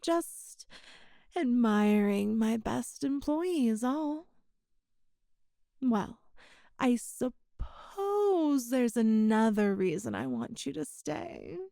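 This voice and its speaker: A woman's voice: on the high side, animated, warm tone, no echo, clean studio sound, full character voice. A woman playing a flirtatious, possessive boss smitten with an employee, speaking in a coy, teasing way in an intimate ASMR audio roleplay. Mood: teasing, seductive. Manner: slow, coy, teasing, breathy pauses.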